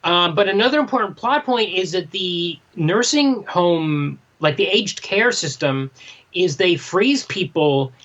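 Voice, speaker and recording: A podcast co-host chatting casually about comics, thinking aloud in an animated way. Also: medium pitch, unhurried, lo-fi sound. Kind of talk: casual comic book discussion.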